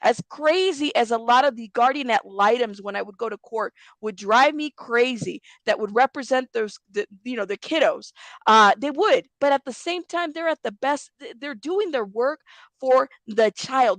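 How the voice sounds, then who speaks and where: A woman's voice: on the high side, normal speed, dry recording. A woman hosting a commentary channel, ranting bluntly and profanely, repeating points for emphasis. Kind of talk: heated commentary rant.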